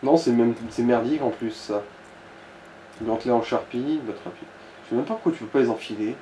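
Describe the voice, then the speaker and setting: A man's voice: mid-range voice, brisk, dark tone. A man chatting casually as he co-commentates a video game, explaining things and slipping in joking asides. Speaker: let's play co-commentator. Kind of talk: casual gaming chat. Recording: roomy echo, background noise.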